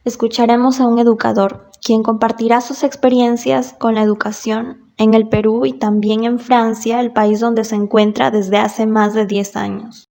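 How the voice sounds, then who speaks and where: A woman introduces her podcast from a script, reading evenly. Her voice sits a little high but stays flat and mellow. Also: moderate pace, vocal fry, few pauses. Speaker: podcast host.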